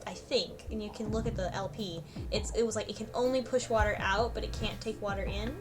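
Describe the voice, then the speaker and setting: A woman's voice: medium pitch, steady tone, fairly quick. A woman co-hosting a podcast in a casual group discussion, animatedly retelling a game and acting out its dialogue. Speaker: podcast co-host.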